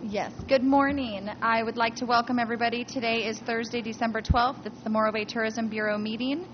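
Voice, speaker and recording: A woman's voice: on the high side, steady tone, fairly quick. A woman chairing a public meeting, friendly and a little flustered. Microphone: table microphone. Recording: muffled meeting audio with audible noise.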